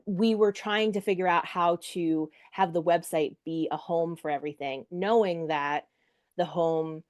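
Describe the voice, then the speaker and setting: A woman with a notably low voice hosts a relaxed podcast interview. She offers frequent supportive murmurs and loose, filler-filled questions as she chats with her guest. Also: a little slow, dry recording, clean studio sound. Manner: warm and affirming, with many "mm-hmm" and fillers. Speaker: podcast host. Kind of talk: casual interview chat.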